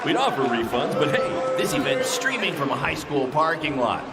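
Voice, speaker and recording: An actor playing a wisecracking small-time wrestling announcer in a video game, giving hyped, wry commentary. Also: male voice, on the high side, big pitch swings, moderate pace. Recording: very loud crowd and arena noise.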